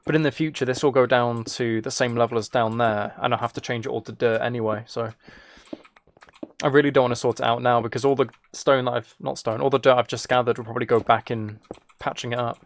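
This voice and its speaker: A man's voice: mid-range voice, fairly even intonation, quick pace, a little hiss or hum. A man livestreaming a game, casually rambling and thinking aloud as he replies to viewers. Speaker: livestreamer, gaming and ASMR channel creator. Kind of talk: casual live stream chat while gaming.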